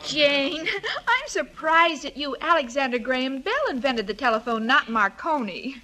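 A woman's voice: high voice, lively intonation, normal speed, bright, forceful tone, low-quality recording. An actress playing a sweet, dim-witted young woman in a 1940s radio comedy, naive, earnest and literal-minded, on an old recording. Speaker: comic lead of radio sitcom.

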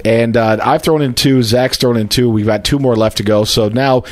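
A man's voice: medium pitch, steady tone, normal speed. A man hosting a casual beer podcast, rambling and joking with plenty of fillers and restarts. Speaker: podcast host. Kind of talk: casual chat about beer.

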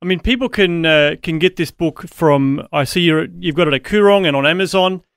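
A man hosting a radio interview, encouraging and warm in his replies, with hesitant fillers. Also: a little high, a little fast, no echo, studio quality. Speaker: radio host.

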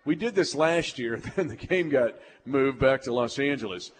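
A man's voice: medium pitch, expressive, normal speed, a little hiss or hum, low-quality recording. An American man hosting a live sports talk show with an emphatic, folksy announcer delivery.

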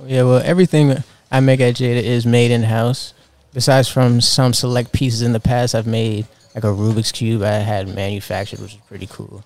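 A man in his twenties, a fashion designer, answering interview questions in a relaxed, earnest way with lots of fillers. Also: medium pitch, moderate pace, few pauses. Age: 20s.

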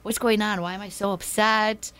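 A hoarse-sounding woman in her thirties chatting on a podcast she co-hosts. Her voice sits at an ordinary middle pitch, and she talks in a chatty, self-deprecating way, telling stories about home life. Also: steady tone, moderate pace, fairly bright tone. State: sore throat, losing her voice. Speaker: podcast co-host. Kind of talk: casual chat.

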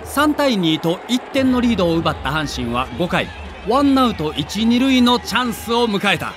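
A man's voice: sits high, very animated, normal speed, over music. A man narrating a scripted sports documentary in a dramatic, emphatic manner.

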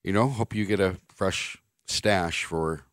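Blunt, sarcastic middle-aged radio host. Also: male voice, low voice, measured pace, fairly bright tone, no echo, studio quality. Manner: blunt, sarcastic, reads listener texts. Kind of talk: opinionated sports talk radio. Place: radio studio. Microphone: studio microphone.

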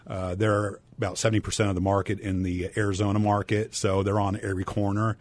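A man's voice: fairly low pitch, little pitch movement, fast talker, darkish tone. A middle-aged man speaking as a guest on a radio talk show, businesslike with many fillers. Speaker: guest, bank president. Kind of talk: radio interview.

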